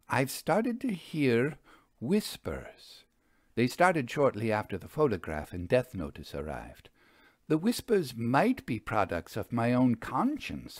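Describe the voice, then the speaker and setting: A man voicing a troubled, solemn male magician in an audio story, speaking in a halting, deliberate way. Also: medium pitch, no room sound, clean studio sound. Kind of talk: audio storybook character acting.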